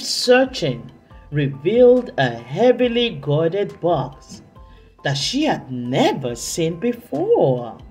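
A woman reading a story aloud for children, deliberately, with dramatic emphasis and drawn-out words. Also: on the low side, darkish tone. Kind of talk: expressive read-aloud narration for children.

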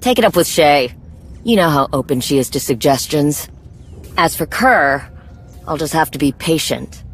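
A woman playing a tough, loyal young warrior in a video game, blunt and earnest, with a slightly husky voice. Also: deep voice, animated, moderate pace. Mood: relieved, earnest. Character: tough, vengeful yet loyal armored Mandalorian warrior woman. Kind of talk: video game cutscene acting.

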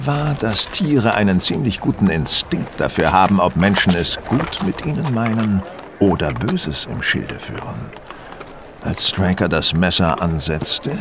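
A man playing a shrewd, self-assured detective who explains things deliberately in a German audio drama. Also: fairly low pitch, background noise.

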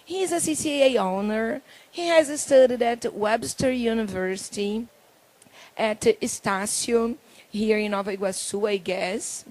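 A middle-aged Brazilian woman, hosting a talk show in English with a handheld mic, halting and reading from notes. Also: mid-range voice, darkish tone, poor audio. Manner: halting, reads from notes, laughs often. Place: indoor talk show stage.

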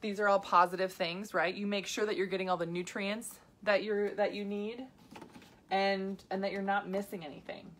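A woman's voice: medium pitch, little pitch movement, normal speed, fairly bright tone. A woman in her thirties, a health coach, chatting casually to viewers on a live video with many asides and restarts.

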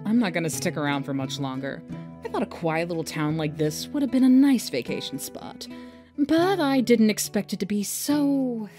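A woman's voice: medium pitch, animated, moderate pace, dark tone, soft background music, lightly acted. A woman voicing a vain, sassy fish woman who is flirty and self-assured. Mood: mocking.

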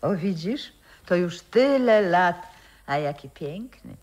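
An actress in a 1960s radio play playing a wistful, proud old grandmother who was once an opera singer, reminiscing in a halting, theatrical way. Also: fairly low pitch, expressive, a little mellow. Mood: tender, nostalgic.

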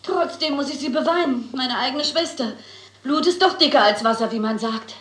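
A woman's voice: high-pitched, moderate pace, fairly bright tone, reverberant. An actress playing a chatty, catty, insistent widowed landlady in a German crime radio play. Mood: catty, gossipy. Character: gossipy, spiteful, flirtatious widowed landlady.

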